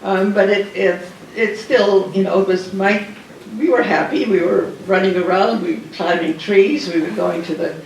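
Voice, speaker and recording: Older man recalling the past, gravelly voice. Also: sits high, slight background noise. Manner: halting, rambling, many restarts and fillers. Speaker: guest speaker. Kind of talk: personal wartime memoir talk. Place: large hall at a dinner event. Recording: echoey hall heard from a distance.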